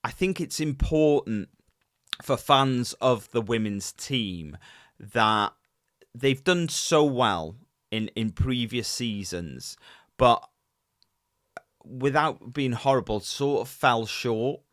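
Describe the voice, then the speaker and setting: A man, casually co-hosting a podcast with his wife, animated and full of fillers and restarts. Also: medium pitch, slow, dry recording, studio quality. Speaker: podcast co-host.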